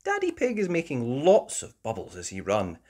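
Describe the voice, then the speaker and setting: A woman reading a children's storybook aloud as host, in expressive narration. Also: rumbling low, normal speed. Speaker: storybook reader, channel host.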